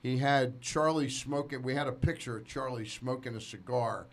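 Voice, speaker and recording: A man in his sixties hosting a casual sports radio show, talking in a halting, digressive way full of asides. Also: mid-range voice. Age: 60s. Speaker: sports talk show host.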